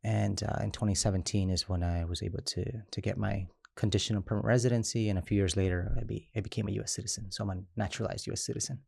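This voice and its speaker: Gravelly low-voiced man, halting speech. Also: fairly even intonation, a little mellow, no room sound, clean studio sound. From Chiapas, Mexico. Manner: halting, many restarts and "right?" tags. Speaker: immigrant rights organizer. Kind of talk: interview conversation.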